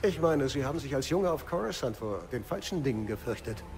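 An actor playing a calm, gentle and wise young mystic warrior in a German video game, speaking in a measured way. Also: male voice, mid-range voice, over music. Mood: wry calm.

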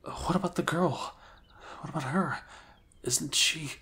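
A young man speaking softly and hesitantly in an improvised ASMR roleplay, often dropping to a whisper. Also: a little high, unhurried, slightly rough.

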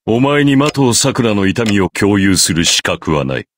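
An actor playing a cold, imposing middle-aged priest in an anime visual novel, speaking in a measured, condescending way. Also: male voice, on the low side, expressive, slight background noise, natural voice. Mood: cold, dismissive.

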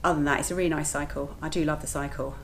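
Low-voiced woman chatting about her busy life. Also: fairly even intonation, racing, very noisy. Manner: chatty, matter-of-fact, self-deprecating. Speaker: vlogger, lifeguard and working mother. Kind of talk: casual talk to camera. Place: home kitchen, indoors.

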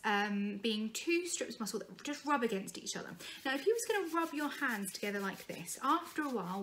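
Chatty British woman, slightly croaky. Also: mid-range voice, fairly bright tone. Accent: British English. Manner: chatty, explains with hand gestures, repeats words for emphasis. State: slightly croaky from a long day of teaching. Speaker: singing and voice teacher. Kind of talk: informal vocal health tutorial to camera. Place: small room indoors, sitting at a keyboard in front of a sofa.

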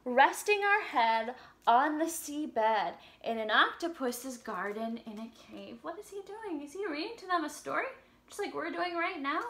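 A woman's voice: sits high, expressive, moderate pace, fairly bright tone. A young woman teaching small children and reading a picture book aloud, expressively, with simple questions and exaggerated emphasis. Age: young adult. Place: home room with an upright piano.

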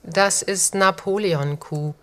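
Slow-spoken woman on a test recording. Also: medium pitch, fairly bright tone. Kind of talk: short recorded test phrase.

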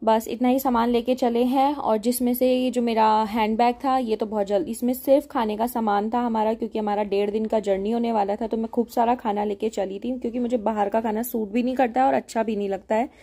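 A young woman narrating her travel vlog in a casual, chatty way, with filler pauses. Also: on the high side, steady tone, dark tone. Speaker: travel vlogger.

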